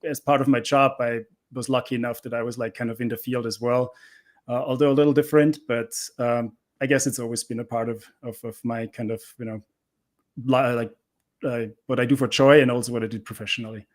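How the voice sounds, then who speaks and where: Middle-aged man, mid-pitched. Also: fairly even intonation, brisk. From Austria. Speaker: interview guest, cybersecurity instructor. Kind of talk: casual conversational interview answers.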